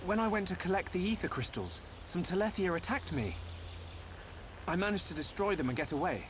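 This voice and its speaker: A kind, eager young hero in a video game drama. His voice is fairly high and moves freely in pitch, with an earnest manner. Ambient noise is clearly heard behind him. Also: very animated, brisk. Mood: concerned.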